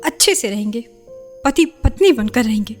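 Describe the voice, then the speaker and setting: An anguished young woman in a radio drama, played by an actress, has a clearly high voice. She pleads and defends herself, torn between love and family. Music sits underneath her words. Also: animated, moderate pace, close to an everyday voice. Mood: hurt, defensive. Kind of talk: Hindi radio play acting.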